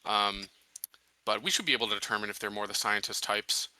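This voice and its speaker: A man's voice: fairly low pitch, steady tone, brisk, sharp tone, smooth, no room sound, rough recording. A man talking through plans as a tabletop roleplaying player, casual and thinking aloud. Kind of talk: casual in-game planning discussion.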